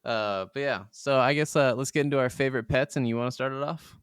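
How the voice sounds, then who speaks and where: A man's voice: mid-range voice, quick pace, smooth, no echo, clean studio sound. A man co-hosting a casual video game podcast, agreeable and laid-back, with some swearing. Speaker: podcast co-host. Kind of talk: casual banter about video games.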